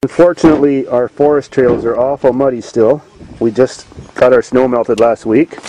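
A man's voice: mid-range voice, steady tone, moderate pace. A man hosting a casual farm vlog outdoors among running cars, joking crudely and rambling as he shows off the vehicles. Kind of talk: casual chat. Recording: loud outdoor engine noise.

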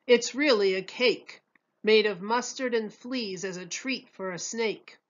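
A know-it-all storybook waiter, played by a woman, contrary and sure of himself. The delivery is slow and emphatic, with sing-song rhymes in a slightly raised pitch, on a dull recording. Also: lightly acted. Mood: confidently contrary.

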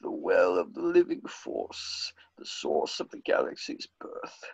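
A man voicing an ancient, sinister alien master in a raspy, creaky whisper, in a fan table read. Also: sits low, wildly swooping pitch, measured pace, heavily acted. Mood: ominous. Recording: dull, lo-fi video call.

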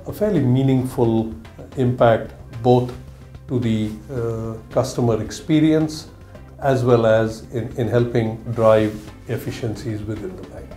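Slow, halting, mellow middle-aged man. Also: mid-range voice. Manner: halting, careful phrasing. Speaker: bank technology executive. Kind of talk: interview answers. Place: small office, indoors. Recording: roomy echo, music underneath.

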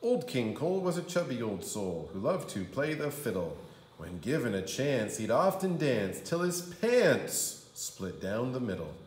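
Male kindergarten teacher for children's rhyme read-aloud: theatrical and sing-song, swooping pitch, recorded in a roomy space. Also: sing-song, a little slow, a little mellow. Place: indoor room. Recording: roomy indoor echo.

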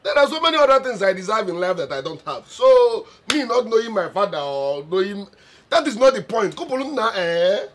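A man's voice: sits high, sing-song, a little fast. A young man with a Nigerian accent playing a defiant son who argues with his mother, exasperated and mixing in Pidgin and Igbo phrases. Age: young adult.

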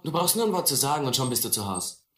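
Blunt, animated young boat thief. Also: male voice, mid-range voice, fast, reverberant. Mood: cool, dismissive. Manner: curt, street-tough. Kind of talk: German radio play acting.

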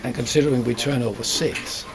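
Older man, middle pitch, rambling restarts, warehouse tour, camera mic, noisy background. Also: brisk, a crowd in the background. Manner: rambling, restarts, hands gesturing while talking. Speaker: audio company founder. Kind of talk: factory tour talk. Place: large warehouse with shelving, indoors.

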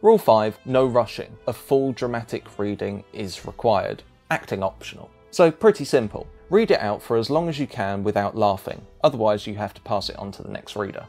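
Male essay narrator, creaky edge, wry and emphatic scripted read over faint music. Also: on the low side, expressive, normal speed, few pauses, a little mellow.

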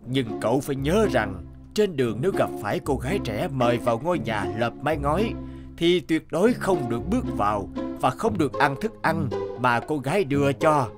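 A man's voice: medium pitch, big pitch swings, fairly quick, close to an everyday voice. Casting: male actor for old bearded mountain deity, stern, benevolent, grave warnings, children's animation, music behind. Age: older. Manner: solemn, admonishing. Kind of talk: children's fairy-tale cartoon dubbing.

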